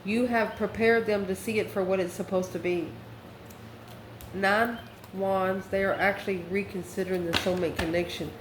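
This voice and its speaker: Folksy, sassy woman in a roomy echo. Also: mid-range voice. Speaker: online tarot reader. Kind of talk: improvised spoken tarot reading. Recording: roomy echo with faint background noise.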